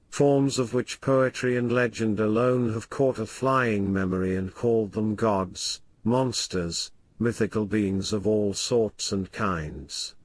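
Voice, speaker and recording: A grave male professor, played by a man, narrates a weird tale as an audiobook. His middle-pitched voice is formal and measured. He delivers the literary prose like scripted scholarly testimony. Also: natural voice. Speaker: narrator and host.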